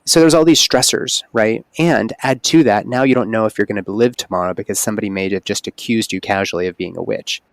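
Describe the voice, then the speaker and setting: A man's voice: fairly low pitch, a little fast, creaky, few pauses, no room sound. A man speaking as a holistic OB-GYN guest on a podcast, explaining earnestly in casual conversation. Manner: earnest, explanatory, animated emphasis.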